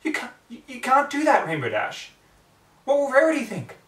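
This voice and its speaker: A man's voice: very high-pitched, wildly swooping pitch, moderate pace, fairly bright tone, echoey room, far from the mic, pitched above the performer's own voice, exaggerated voice. A man voicing an anxious, easily agitated female pony in a dramatic fan story reading. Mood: flustered, upset. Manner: stammering, pleading, agitated.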